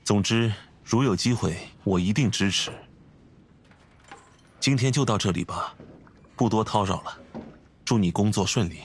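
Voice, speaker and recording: A man voicing a refined, composed, scholarly gentleman in a video game, speaking formally and courteously with measured phrasing. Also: lowish voice, slight background noise, natural voice. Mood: polite. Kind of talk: video game character acting.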